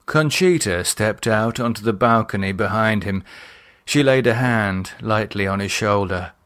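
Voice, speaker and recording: A man reading his own horror novel as a scripted audiobook, with dramatic, deliberate storytelling. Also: lowish voice, fairly bright tone.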